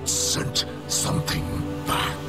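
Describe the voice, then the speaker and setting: Weary alien war leader for a game, male actor. Breathy rasp, very drawn-out delivery, solemn, loud score behind. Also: natural voice. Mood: haunted, grave. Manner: grave, deliberate, halting storytelling. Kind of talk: dramatic video game monologue. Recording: echoing voice over loud music.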